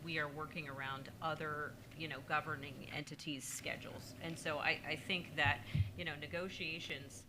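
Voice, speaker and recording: Woman, council member, very low voice, measured and conciliatory, noisy room. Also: level tone. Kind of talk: speaking at a council meeting. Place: city council chamber.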